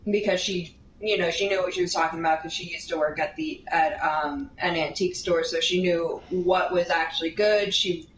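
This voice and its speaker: A woman casually telling a rambling anecdote in an animated way, drifting into tangents and acting out what people said. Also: lowish voice. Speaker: storyteller. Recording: roomy, distant mic, steady background noise.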